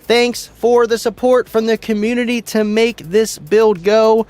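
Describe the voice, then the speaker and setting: High-voiced excited man hosting a livestream. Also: unhurried. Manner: excited, chatty, laughs often, stumbles over names. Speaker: livestream host. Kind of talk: casual livestream chat reading viewer comments. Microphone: studio mic.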